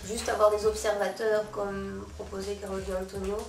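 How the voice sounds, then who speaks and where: Female moderator, mid-pitched, questioning style, roomy sound with faint music. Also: level tone, normal speed, creaky. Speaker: debate moderator. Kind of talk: unscripted debate questioning. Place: cafeteria. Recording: echoey, distant mic, faint music.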